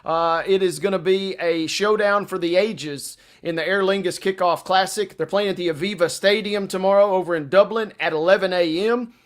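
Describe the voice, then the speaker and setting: A man's voice: high voice, normal speed, hardly any pauses. A man co-hosting a sports show, chatting through betting picks with colloquial, playful turns of phrase.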